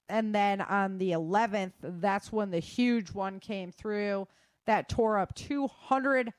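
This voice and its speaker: A woman's voice: mid-range voice, smooth, no echo. A woman hosting a podcast, running through news in a casual, conversational way and speaking informally to listeners. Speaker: podcast host. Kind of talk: casual news roundup on disasters and weather.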